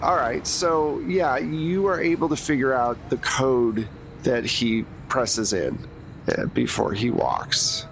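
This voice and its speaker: A man narrating as game master of a tabletop game, describing scenes in a relaxed way with frequent fillers. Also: mid-range voice, slow pace, vocal fry. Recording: noisy background.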